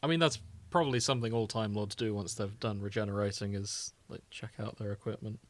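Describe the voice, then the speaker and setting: A man's voice: fairly low pitch, steady tone, a little fast, no room sound. A man co-hosting a podcast, chatting casually in a jokey, wry way. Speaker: podcast co-host. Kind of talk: casual chat.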